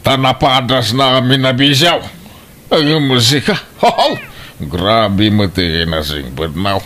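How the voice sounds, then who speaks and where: A man's voice: medium pitch, expressive, slow, fairly bright tone. A Filipino man playing a pushy, middle-aged, pleasure-loving uncle in a comedic radio drama, with a blustering, scoffing manner. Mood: boisterous, mocking. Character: pushy, carousing uncle who loves drink and fun. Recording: noisy radio drama track.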